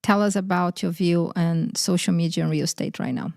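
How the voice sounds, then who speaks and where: A woman co-hosting a podcast interview. She asks direct, brisk questions. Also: fairly low pitch, fairly even intonation, gravelly, a little mellow, dry recording, studio quality. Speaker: co-host.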